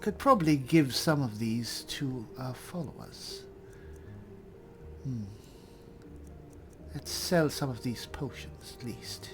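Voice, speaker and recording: A man voicing a cool, dry high elf spellsword, musing formally as he roleplays through a fantasy game. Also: animated, over music. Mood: pensive, muttering. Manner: measured, formal, thinking aloud.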